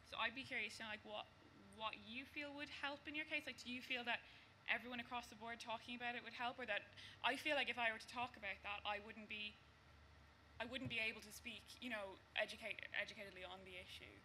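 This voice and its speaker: Fast, bright-voiced outspoken woman. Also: on the high side, fairly even intonation, a little hiss or hum. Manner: outspoken, blunt, swears. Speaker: panelist. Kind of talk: panel discussion.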